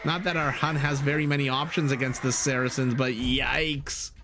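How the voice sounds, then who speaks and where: A man giving excitable live commentary on a strategy game, his voice raspy and his throat dry, with fillers and laughs. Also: mid-range voice, normal speed, background noise. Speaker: game caster. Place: home room.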